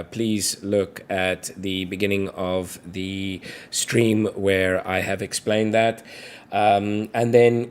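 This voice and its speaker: A man hosting a livestream Q&A, chatty and hesitant, with many fillers. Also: lowish voice. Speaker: livestream co-host. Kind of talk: casual livestream Q&A.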